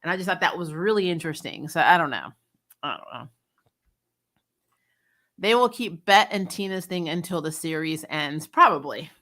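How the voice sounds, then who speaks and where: A woman giving a casual, frank, salty and animated spoken review as the host of a recap show. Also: deep voice, normal speed, long pauses. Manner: animated storytelling, laughs often, frank and salty. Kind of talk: casual chatty episode review, reacting to live comments.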